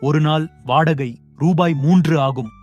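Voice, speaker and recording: A helpful cartoon innkeeper, voiced by a man, sits a little high for a man and speaks respectfully to a guest. His manner is warm and reassuring, and he is eager to help. Soft music runs underneath. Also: a little slow, dull audio, natural voice. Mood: polite, helpful. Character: kindly adult male innkeeper, helpful. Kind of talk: cartoon voice acting.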